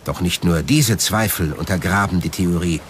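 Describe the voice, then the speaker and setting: A man's voice: deep voice, moderate pace, slight background noise. A man narrating a documentary from a script.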